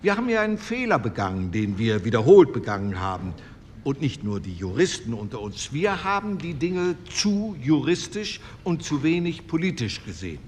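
A man's voice: medium pitch, big pitch swings, normal speed, a little mellow, natural voice. An actor playing a male postwar delegate, giving formal, argumentative parliamentary speech in a radio drama. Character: male postwar CDU delegate, earnest and persuasive. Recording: reverberant hall with faint room noise.

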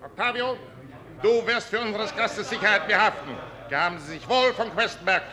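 An actor playing a proud, bitter, imperious general in a declamatory 1950s radio drama. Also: male voice, sits high, moderate pace, lightly acted. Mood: grave resolve. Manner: declamatory, theatrical stage delivery. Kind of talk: 1950s German radio play acting. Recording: crowd noise behind, 1950s radio recording.

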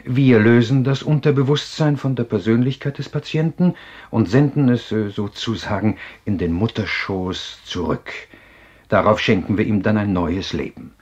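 A man playing a self-assured psychiatrist in a radio drama, explaining in a measured, courteous, husky lecture. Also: lowish voice, darkish tone, highs cut off. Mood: assured, explanatory. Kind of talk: German radio drama acting.

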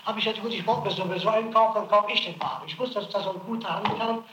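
A man's voice: high-pitched, steady tone, very fast, pushed and bright, roomy sound, slight background noise, poor audio. A man under interrogation, giving defensive and evasive answers on an archival tape.

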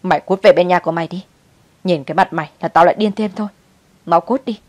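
A woman's voice: low-pitched, fast, no echo. A woman voicing a bitter, angry old grandmother in a story, scolding and wailing in outrage. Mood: wailing, furious.

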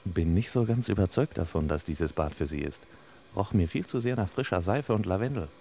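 An actor playing a wry, pompous master detective in an old radio crime comedy, in clipped telegram phrases with mock-gallant courtesy. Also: male voice, deep voice, expressive, fairly quick, mellow. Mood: dry, pondering. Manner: clipped telegram phrasing, dropping pronouns, mock-solemn sayings. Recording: reverberant old radio play, faint hiss.

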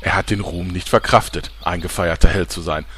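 A man's voice: low voice, steady tone, a little fast, fairly bright tone, a little hiss or hum. A man playing a pompous, sleazy, easily flustered TV reporter in an audio parody, with dramatic announcer delivery. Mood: sensational, dramatic.

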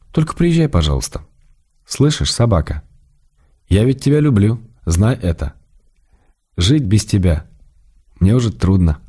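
A man's voice: lowish voice, mellow, slightly rough. A man acting in a radio drama as a wry, tender, weary writer in love, reading his letters with intimate understatement.

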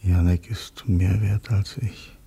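A man in a radio play acting a weary, brooding leader of revolutionary conspirators, speaking tersely in a husky, gravelly voice. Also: low voice, fairly even intonation, slow, dark tone, heavily acted. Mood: tense, brooding.

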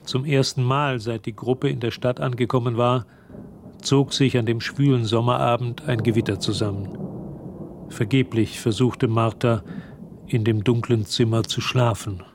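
An actor as the composed narrator of a radio play, reading literary prose. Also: male voice, mid-range voice, steady tone, moderate pace, a little hiss or hum, natural voice.

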